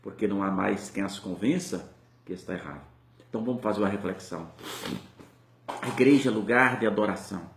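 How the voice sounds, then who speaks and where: A man's voice: on the low side, fairly quick, gravelly, pauses often, slight background noise. Male preacher, Brazilian Portuguese accent, fervent swelling delivery, echoey church sound. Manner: impassioned, rising and falling preaching. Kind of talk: sermon.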